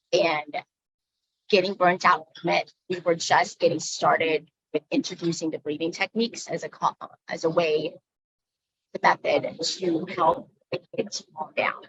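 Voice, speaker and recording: Woman from the Philippines, 40s, yoga teacher, halting personal talk, low and husky, slightly dull sound. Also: a bit bright. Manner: halting, with frequent ums and pauses. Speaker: guest speaker, yoga instructor.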